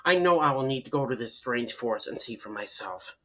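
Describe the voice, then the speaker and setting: A man's voice: medium pitch, lively intonation, brisk, sharp tone. A man reading a horror story aloud in dramatic first-person narration, sometimes stumbling over words and restarting.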